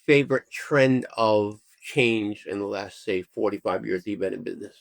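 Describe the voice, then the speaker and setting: A man in his fifties hosting an interview, asking relaxed questions and reminiscing. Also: lowish voice, normal speed, vocal fry, no echo. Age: 50s. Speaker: interview host. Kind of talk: conversational interview.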